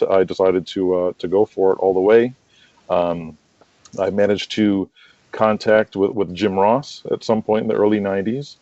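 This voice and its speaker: A man's voice: lowish voice, dark tone, no room sound, highs cut off. A middle-aged American man telling rambling anecdotes casually as an interview guest. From United States. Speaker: interview guest, wrestling promoter and manager.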